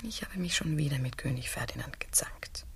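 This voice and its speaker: An actress playing a lonely, petulant queen confessing coyly in a satirical radio play, in a hushed, breathy murmur. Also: low-pitched, animated, a little fast, whispered. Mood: petulant, plaintive.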